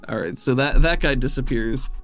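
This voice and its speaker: A man's voice: medium pitch, moderate pace, a bit bright. Male game master over music. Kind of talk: improvised narration of a tabletop game.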